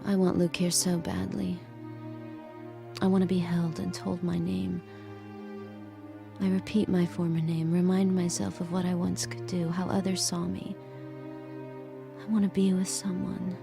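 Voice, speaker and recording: Audio drama handmaid: subdued, guarded, inwardly grieving, slightly low with marked vocal fry. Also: female voice, little pitch movement, a little slow, long pauses. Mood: sombre, reflective. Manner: hesitant and guarded in dialogue, reflective in narration. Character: subdued enslaved handmaid, a grieving mother, quietly longing. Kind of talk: audio drama acting with intimate first-person narration. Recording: music behind the voice.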